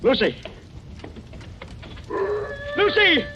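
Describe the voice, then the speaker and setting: Desperate young husband, swooping high voice, urgent, impulsive, 1940s radio drama, muffled noisy recording. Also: male voice, wildly swooping pitch, very slow, heavily acted. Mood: frantic. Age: young adult. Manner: urgent, emphatic.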